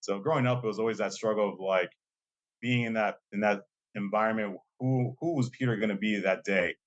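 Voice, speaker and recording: A man's voice: lowish voice, a little fast, dull audio. A young man speaking as a guest in a casual interview, loose and talkative, often tagging his sentences with a questioning "right?" and using many fillers. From Lowell, Massachusetts, USA. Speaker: guest, assistant dean.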